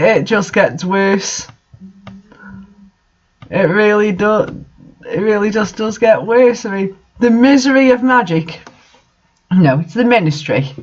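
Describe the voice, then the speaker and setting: High-pitched man reading, in dull lo-fi sound. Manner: incredulous, exasperated asides. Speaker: reader and commentator of bad fan fiction. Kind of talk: dramatic reading with casual mocking commentary. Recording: dull lo-fi recording.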